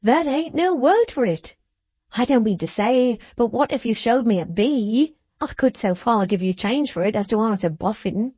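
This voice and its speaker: A woman's voice: fairly high pitch, animated, moderate pace, dark tone, dry recording, pitched above the performer's own voice. A woman voicing a jovial, boastful older man who speaks in broad, ungrammatical English, eager and enthusiastic. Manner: broad, rough-grammared, enthusiastic.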